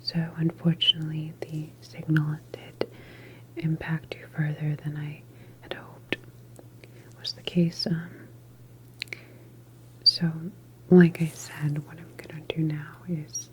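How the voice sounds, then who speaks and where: Woman acting as a female sci-fi doctor, ASMR roleplay, low-pitched whisper, unhurried, calm, gently reassuring. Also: rough recording. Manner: soft, slow, gently explanatory whisper.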